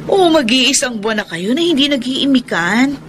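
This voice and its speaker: A woman's voice: sits high, expressive, moderate pace, noisy background. An actress playing a brash, teasing, greedy female friend in a radio drama. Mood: teasing, gleeful.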